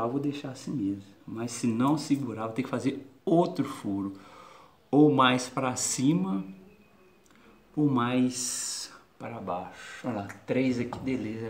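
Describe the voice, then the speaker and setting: A man's voice: medium pitch, moderate pace. Chatty Brazilian man in echoey kitchen. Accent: Brazilian Portuguese. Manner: chatty, talks to viewers mid-task. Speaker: home DIY vlogger. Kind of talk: casual how-to narration while working. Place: small home kitchen, indoors. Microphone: handheld phone camera, close. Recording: echoey tiled room, faint noise.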